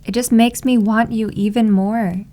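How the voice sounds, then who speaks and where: A woman's voice: fairly high pitch, steady tone, mellow, close to an everyday voice. A woman playing a doting, possessive yandere maid girlfriend in an ASMR roleplay, with a sugary, cooing way of teasing. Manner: cooing, sugary, drawn-out endearments. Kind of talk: slow ASMR roleplay acting.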